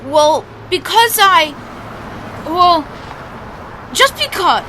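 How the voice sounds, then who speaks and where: Woman playing a high, bright, stubborn street boy. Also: animated, very slow, full character voice, rough recording. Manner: slangy, artless kid talk. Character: stubborn, proud young street boy with a pet caterpillar. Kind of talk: old-time radio drama acting. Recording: noticeable background noise.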